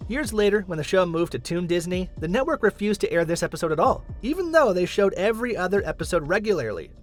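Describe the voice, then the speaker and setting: A man's voice: high-pitched, big pitch swings, fast. A man reading scripted countdown narration as the host of a list video. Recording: music under the voice. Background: background music.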